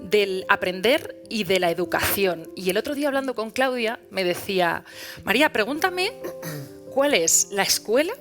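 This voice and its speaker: Bright-voiced woman moderating a panel. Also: medium pitch, big pitch swings, moderate pace, soft background music. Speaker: event host and moderator. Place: event hall before an audience.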